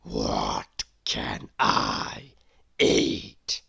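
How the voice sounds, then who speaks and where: A woman voicing a hungry, menacing lion from a children's storybook in a drawn-out growl. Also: pushed and bright.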